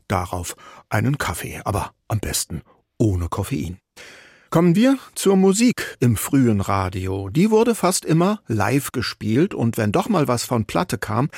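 A man's voice: fairly low pitch, gravelly, breathy edge, no echo, studio quality. A male radio host reading scripted narration with light, wry asides.

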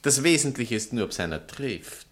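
A man's voice: medium pitch, lively intonation, normal speed, natural voice. An actor plays a proud male novelist in a satirical stage comedy. His manner is grandiloquent and formal. He stays ironically courteous while being defiant. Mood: lofty, provocative. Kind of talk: theatrical stage comedy dialogue.

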